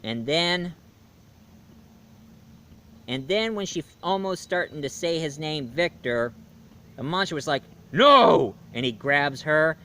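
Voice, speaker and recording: A man reviewing a film in a casual, animated way, retelling the plot and acting out scenes as he goes. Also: a little high. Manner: animated storyteller, acts out scenes.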